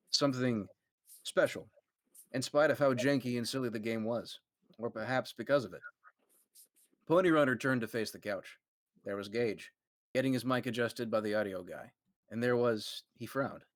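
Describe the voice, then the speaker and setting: A man reads fan fiction aloud to friends on a live stream in a relaxed, conversational way, with a mid-range voice. His home mic is close and dry, with no room echo. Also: medium pitch. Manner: quick, conversational reading, laughing asides. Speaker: narrator reading a fan fiction.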